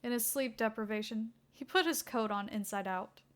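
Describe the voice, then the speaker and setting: A woman's voice: on the high side, moderate pace. A woman reading a scripted story aloud as a fan fiction narrator.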